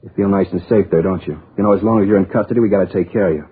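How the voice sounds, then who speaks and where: An actor playing a blunt, menacing police detective in a radio crime drama, with a husky voice, on a tinny old recording. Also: male voice, low voice, very fast. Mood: angry, threatening. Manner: blunt, menacing, clipped orders. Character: hard, threatening police detective, protective family man.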